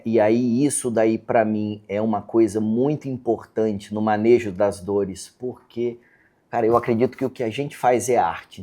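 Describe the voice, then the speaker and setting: A man's voice: on the low side, dark tone. A man hosting a podcast as a neurosurgeon, speaking earnestly in a casual interview chat with a Brazilian Portuguese accent. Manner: earnest, hesitant restarts, frequent "tá?" tags. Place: podcast studio.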